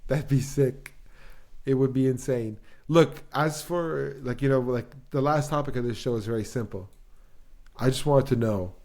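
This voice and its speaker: A young man with a medium-pitched voice hosts a casual podcast. He talks in loose slang, swears easily and doubles up phrases to underline what he means. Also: moderate pace, darkish tone. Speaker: podcast host.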